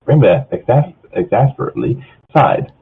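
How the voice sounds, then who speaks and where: A man's voice: medium pitch, very animated, brisk. A man giving an informal amateur story narration, stumbling over lines, recorded through a muffled phone-like channel. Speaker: fanfiction narrator.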